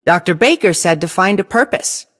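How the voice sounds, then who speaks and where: A woman's voice: lowish voice, expressive, moderate pace. A woman voicing a cute, lazy slacker fox from a video game, complaining wryly. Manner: lazy, wry, sighing complaints. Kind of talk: video game character voice lines.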